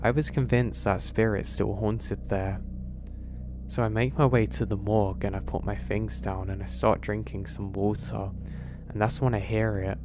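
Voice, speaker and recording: Low-voiced man narrating horror over music. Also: steady tone, moderate pace. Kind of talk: scripted first-person horror narration. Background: background music.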